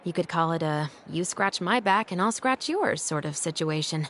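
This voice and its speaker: A woman playing a composed, dutiful female patrol captain in a video game, speaking in a measured, dryly wry way. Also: fairly low pitch, lively intonation, fairly bright tone, slight background noise. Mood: explanatory, calm. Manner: measured, dry, articulate.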